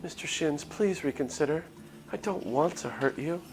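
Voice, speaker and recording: A man voicing a young woman in a visual novel game, a sweetly eerie cult recruiter with a soft, pleading manner. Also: a little high, moderate pace, voice pushed up, close to an everyday voice, low-quality recording. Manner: soft, pleading, coaxing delivery.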